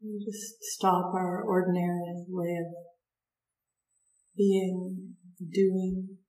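A woman guides a meditation in a slightly low voice. She speaks very slowly, offering gentle instructions in short phrases and leaving long pauses between them. The sound is roomy, with noticeable echo around her words. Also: flat delivery.

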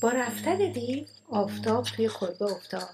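A woman's voice: fairly high pitch, animated, darkish tone, soft background music. A woman narrating a children's radio play as a scripted storyteller.

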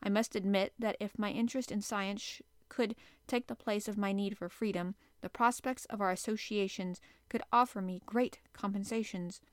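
A woman's voice: medium pitch, steady tone, normal speed, no echo, clean studio sound, lightly acted. A woman reading aloud, voicing a polite, formal male professor who speaks earnestly.

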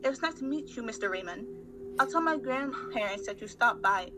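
A woman's voice: on the high side, expressive, fairly quick, natural voice. A woman playing a suspicious, brusque eighteen-year-old girl in an amateur radio play, speaking curtly and sarcastically. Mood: brisk, dismissive. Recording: music under the voice.

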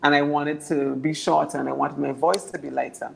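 A young woman from Anguilla with a naturally deep voice, answering interview questions earnestly and often repeating words as she builds her points. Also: level tone, fairly quick, gravelly, a little hiss or hum, low-quality recording. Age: young adult. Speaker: political candidate.

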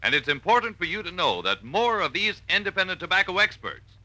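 A man announcing a 1940s radio advertisement with emphatic, measured salesmanship. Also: sits high, big pitch swings, pushed and bright, low-quality recording. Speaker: commercial announcer.

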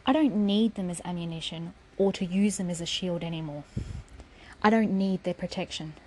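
A woman's voice: fairly low pitch, moderate pace, darkish tone, a little hiss or hum, rough recording. A woman giving a raw, defiant personal spoken essay, swearing freely and sometimes dropping to a whisper.

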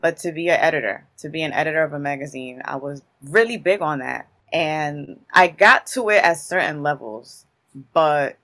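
A guest in their thirties from Newark speaks, answering in long, emphatic stories full of casual street phrasing. The voice sits at a middle pitch, neither high nor low. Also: normal speed, sharp tone. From Newark, New Jersey. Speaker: guest, creative in publishing.

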